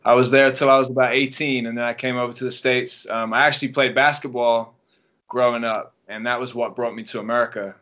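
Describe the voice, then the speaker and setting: A man's voice: medium pitch, brisk, highs cut off. English man telling his story. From England. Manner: relaxed storytelling with many ums and restarts. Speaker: guest track and field coach. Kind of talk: casual podcast interview.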